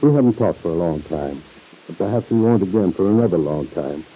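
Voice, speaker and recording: Deep, dark-voiced fatherly uncle. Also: animated, slightly rough, rough recording. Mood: grave, concerned. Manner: measured, earnest, formal phrasing. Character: earnest, fatherly uncle, gravely reproving his niece. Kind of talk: old-time radio drama acting. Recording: vintage radio recording.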